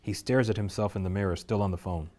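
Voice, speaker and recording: A man reading the stage directions aloud at a script table reading, steady and measured. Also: low voice.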